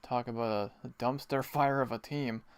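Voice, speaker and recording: Smooth-voiced Canadian man chatting on stream. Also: mid-range voice, steady tone, moderate pace, no room sound. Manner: chatty, explains the game, chuckles often. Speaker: hockey watch party streamer. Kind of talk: casual live-stream chat.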